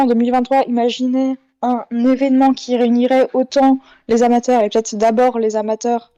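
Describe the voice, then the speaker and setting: A woman speaking in a debate, measured and hesitant, qualifying her points carefully. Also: fairly high pitch, dark tone, smooth, no echo, lo-fi sound. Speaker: panelist in a debate. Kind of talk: thoughtful debate talk about audio fiction.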